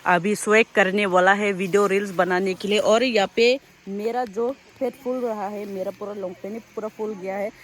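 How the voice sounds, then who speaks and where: A young woman vlogging casually outdoors in the countryside, chatty and excitable, talking into a handheld phone. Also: medium pitch, normal speed. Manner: chatty, excitable, switches between loud exclamations and quiet asides. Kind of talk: casual vlog narration while walking outdoors. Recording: faint outdoor background noise.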